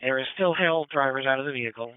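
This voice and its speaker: A man's voice: mid-range voice, quick pace, clear, resonant tone, no echo. A man working as a police dispatcher over two-way radio, tersely repeating reports back in clipped procedural phrases. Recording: narrow-band police scanner radio audio.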